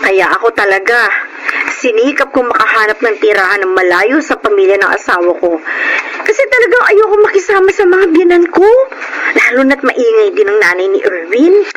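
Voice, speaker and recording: Frank Filipino neighbour wife, sympathetic, fairly high voice, very animated, radio drama. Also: female voice, moderate pace. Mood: frank, gossipy. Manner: expressive, confiding. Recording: noisy radio drama background.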